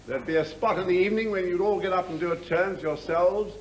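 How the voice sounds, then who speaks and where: An actor playing a middle-aged senior military officer in a drama, condescending and pompous, with deliberate, patronising phrasing. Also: male voice, high voice, lightly acted. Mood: falsely jovial. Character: condescending senior military officer, falsely jovial, menacing man. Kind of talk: dramatic acting. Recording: clear background noise.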